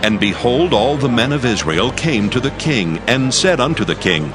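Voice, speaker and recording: A man's voice: medium pitch, very animated, moderate pace, music in the background. A man narrating scripture in a solemn storytelling style for a dramatized audio production.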